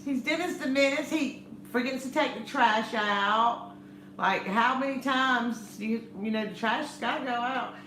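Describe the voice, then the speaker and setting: A middle-aged woman with a loud voice, chatting casually and teasing, laughing often. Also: a little high, moderate pace, reverberant, slight background noise, low-quality recording. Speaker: channel co-host. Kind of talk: casual chat.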